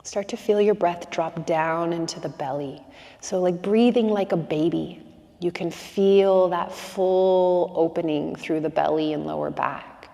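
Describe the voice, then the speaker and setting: Calm woman breath coach, slightly low voice, soothing and unhurried, reverberant space. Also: gravelly. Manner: slow, soothing, calm instructions with long pauses. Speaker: breathwork instructor. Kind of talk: guided breathing meditation.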